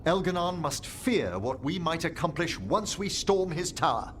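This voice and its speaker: An actor voicing a courtly older professor of necromancy in a video game, speaking in formal, eloquent, philosophical phrases. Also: male voice, on the high side, very animated, normal speed, fairly bright tone, slight background noise. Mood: relieved. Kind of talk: video game character acting.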